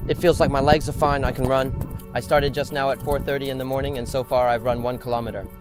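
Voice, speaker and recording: A man talks rapidly into a handheld phone as he moves through city streets, giving quick, matter-of-fact progress updates. Music plays clearly under his voice in the edit. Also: mid-range voice, little pitch movement. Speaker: runner filming himself. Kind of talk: on-the-go vlog update.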